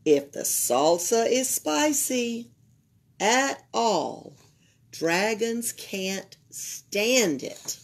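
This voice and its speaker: An older woman with a Southern US accent and a slightly raspy voice reads a children's picture book aloud as a library storyteller, expressively, pausing to comment to young listeners. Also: fairly high pitch, sing-song. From Alabama, United States. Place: indoors at a library table.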